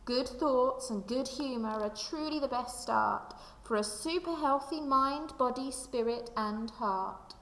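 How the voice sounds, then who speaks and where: Echoey recording, woman, creaky voice, primary teacher, storybook read-aloud for children. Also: high-pitched, unhurried, few pauses, fairly bright tone. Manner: addresses young listeners directly. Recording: echoey room with long reverb.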